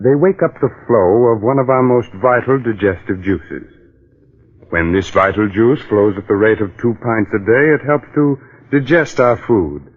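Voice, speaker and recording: A man announcing and hosting in old-time radio style, chatty and reassuring with a folksy sales pitch. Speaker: announcer and host. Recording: narrow tinny old radio recording with music.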